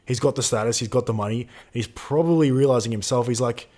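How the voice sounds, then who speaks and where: A man's voice: mid-range voice, fast talker, mellow. A man in his twenties co-hosting a live Q&A stream, chatting casually and reading out viewer questions. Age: 20s. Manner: casual slangy, swears, reads out chat questions.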